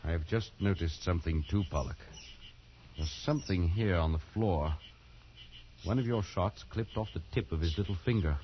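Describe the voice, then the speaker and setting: A man acting as a stern old-time colonial hand in a radio play, with a lowish voice. He is authoritative and admonishing, a grim man of experience. The vintage recording is dull, with faint noise behind him. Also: normal speed, lightly acted. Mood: steadying, grim. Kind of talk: post-war radio drama acting.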